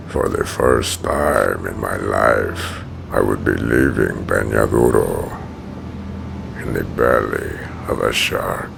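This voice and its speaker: Menacing giant villain, very gravelly growl, slow deliberate pace, grandiose comic dub narration. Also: male voice, noisy background, heavily acted. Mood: brooding, resolute. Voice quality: gravelly, rumbling deep voice. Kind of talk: dramatic first-person comic dub narration.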